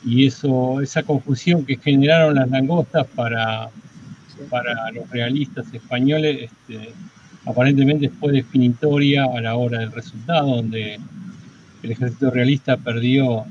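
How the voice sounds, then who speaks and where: Man, Argentine accent, medium pitch, halting explanatory storytelling, muffled lo-fi audio with faint noise. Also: steady tone, darkish tone. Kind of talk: informal historical account. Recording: dull lo-fi sound with noise.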